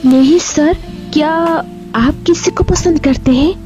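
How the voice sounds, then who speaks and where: A woman voicing a shy, polite young female office worker in an animated story, hesitant and deferential, over music. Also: high voice, a little slow, dull audio. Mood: coy, affectionate. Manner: hesitant, deferential, stammering at times. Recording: music behind the voice.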